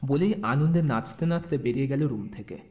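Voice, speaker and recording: A man's voice: medium pitch, a little fast, a little mellow. A man narrating a scripted audio story as the narrator between lines of dialogue. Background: faint music.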